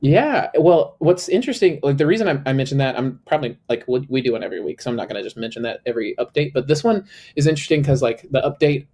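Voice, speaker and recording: A man's voice: lively intonation, quick pace, hardly any pauses, darkish tone. Male co-host, a little nasal, loose filler-heavy casual chat. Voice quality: slightly high, a little nasal. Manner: chatty, filler-heavy, lots of "like".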